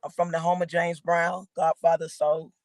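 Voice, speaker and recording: A woman from Georgia with a raspy voice, a guest rapper rambling casually with lots of fillers. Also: on the low side, little pitch movement, moderate pace, dry recording, clean studio sound. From Augusta, Georgia, raised in Atlanta. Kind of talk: casual interview chat.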